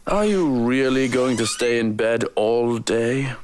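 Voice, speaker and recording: A man playing a composed, dutiful household servant in a visual novel, speaking in a formal, measured way. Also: medium pitch, animated, a little hiss or hum. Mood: calm concern. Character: composed, dutiful male household servant advising his employer.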